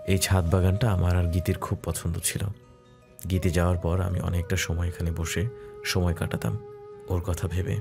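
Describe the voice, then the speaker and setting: A man playing a soft-spoken, caring and wistful widowed husband in a romantic audio drama. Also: sits low, level tone, normal speed, vocal fry, music in the background. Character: gentle, wistful widowed husband, well-off businessman.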